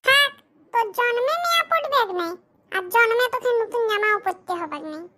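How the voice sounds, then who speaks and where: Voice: extremely high pitch, big pitch swings, a little fast, pushed and bright, full character voice. An actor voicing a cheeky, bickering young villager in a comedy cartoon. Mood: teasing. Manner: teasing, scolding, bickering. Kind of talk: comic cartoon dialogue in village dialect.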